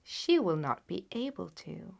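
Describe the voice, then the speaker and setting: A woman's voice: lowish voice, big pitch swings, a little slow, vocal fry, full character voice. A woman voicing a confident, analytical male detective in a storybook reading, assured and instructive.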